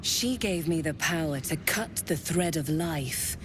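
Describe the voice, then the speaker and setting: An actress playing a seductive, menacing female commander of a dark cult in a video game, sultry and commanding in manner. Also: sits low, lively intonation, unhurried, over loud music. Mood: playful, menacing.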